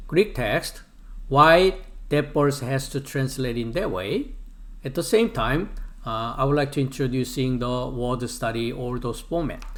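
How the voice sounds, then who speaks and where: A middle-aged man with a Korean accent, lecturing on Bible Greek slowly and deliberately, with many "uh" pauses. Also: mid-range voice. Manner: slow and deliberate with frequent "uh" pauses. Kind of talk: classroom lecture.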